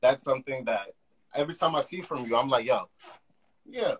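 A man's voice: medium pitch, expressive, fairly quick, a bit bright, slight background noise, low-quality recording. A young man hosting a mental health podcast over a call, talking with enthusiasm in loose run-on phrases. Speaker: podcast host. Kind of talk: casual conversation.

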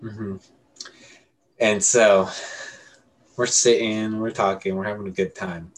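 A man's voice: lowish voice, little pitch movement, slow. A young man telling stories in a casual, slangy chat with friends, as a podcast co-host. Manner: slangy, conversational. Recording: clear background noise and ambience.

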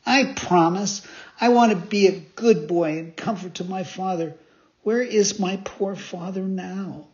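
A man's voice: high-pitched, unhurried, a little mellow. A man voicing a mischievous wooden puppet boy who whines, pleads and stammers eagerly. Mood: pleading. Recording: dull lo-fi recording.

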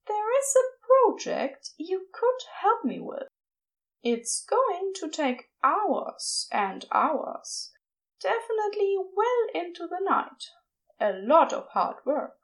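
Lady doing a prissy fussy angel man, high voice, all fluttery. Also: very animated, slow pace, heavily acted. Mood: fond, coy. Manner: prim, exasperated. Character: fussy prim male angel, exasperated but fond. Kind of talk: podfic character voice.

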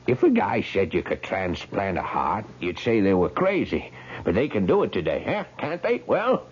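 A man's voice: low voice, big pitch swings, normal speed, slightly rough, heavily acted. An actor playing a panicked young man who stammers, gasps and pleads in a 1970s radio drama. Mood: desperate. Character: panicked young man trapped in an old man's body. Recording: dull, noisy old radio recording.

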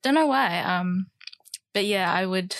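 A woman's voice: mid-range voice, expressive, unhurried, fairly bright tone, no room sound. A young woman speaks with a New Zealand accent in an easygoing, hesitant way, often reaching for words with fillers. Her voice sits in a middle register and the sound is close and dry, with little room around it. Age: young adult. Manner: hesitant, filler-heavy, laughs lightly. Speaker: guest. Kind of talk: casual chat.

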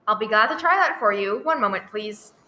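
A woman's voice: medium pitch, animated, a little fast, close to an everyday voice. A woman playing a polite, unruffled telephone operator with formal manners in a radio play. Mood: polite, routine. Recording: noisy recording with clicks.

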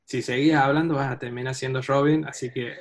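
A man's voice: mid-range voice, fairly even intonation. A man hosting a casual chat show, who steers the talk and jokes with his guests. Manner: joking, brisk moderator. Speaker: host.

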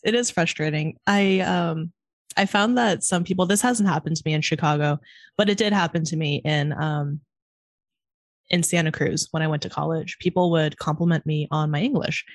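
Brief: Californian woman, twenties, rapid casual talk full of "um" and "like", dry close mic. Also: on the low side, no echo. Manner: fast-talking, chatty, many fillers. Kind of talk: casual conversation.

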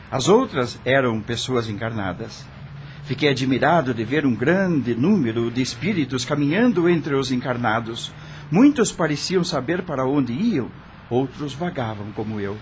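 A man's voice: medium pitch, a little hiss or hum, close to an everyday voice. A Brazilian man acting as the frightened, lost ghost of a rock star, narrating a radio drama in a dramatic, emotional way. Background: background music.

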